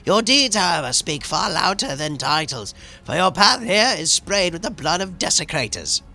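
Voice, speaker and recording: A zealous cyborg holy man from a video game. The voice sits high, with a gravelly creak and a sharp, forceful edge. He preaches in solemn, formal, ceremonious phrases. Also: very animated, normal speed, full character voice. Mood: solemn welcome. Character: solemn zealous cyborg priest, guardian of a sacred monastery. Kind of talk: theatrical video game dialogue.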